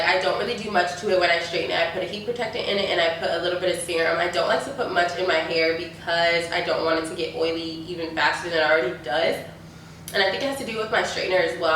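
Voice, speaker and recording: Young woman, stuffy congested voice, lower pitch, very echoey room, mic a little far off. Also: fairly bright tone. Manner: chatty, lots of likes and ums. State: stuffy from a sinus infection. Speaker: beauty YouTuber. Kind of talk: casual Q&A chat. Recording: echoey room, mic at a distance, faint noise.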